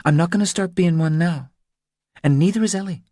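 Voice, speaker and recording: A man's voice: high-pitched, brisk, warm tone, no room sound, studio quality, voice pushed up, natural voice. A man voicing an anxious, stubborn woman who confides her troubles in an earnest, pleading way, for an audiobook. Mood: anguished, insistent. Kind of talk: audiobook character dialogue.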